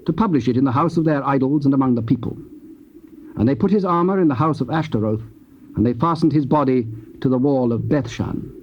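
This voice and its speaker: A man narrates scripture with solemn formality and measured phrasing. His tone is soft and dark. The sound is a muffled old cassette with faint hiss. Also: mid-range voice. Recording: dull, hissy old cassette tape.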